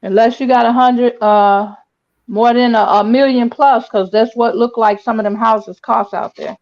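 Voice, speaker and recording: A woman with a fairly high voice joins a group discussion in casual, colloquial speech. Her audio is dull and lo-fi, like a cheap call connection. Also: steady tone, moderate pace. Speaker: panelist in a true crime discussion.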